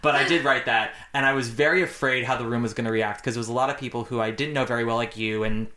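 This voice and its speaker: A man hosting a podcast in casual, chatty conversation, animated and campy, swearing freely. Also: mid-range voice, quick pace, reverberant. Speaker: podcast host.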